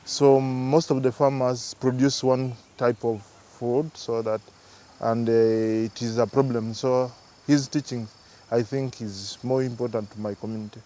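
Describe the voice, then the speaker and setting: A man gives a documentary interview as a farming trainee. His voice is dark and mellow, with a flat intonation. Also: medium pitch, a little slow.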